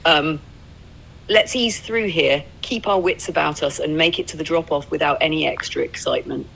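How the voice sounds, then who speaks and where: A woman chatting casually as a supportive, upbeat co-driver companion, with a slightly creaky voice. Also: sits low, normal speed, fairly bright tone, low-quality recording. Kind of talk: casual encouraging chat.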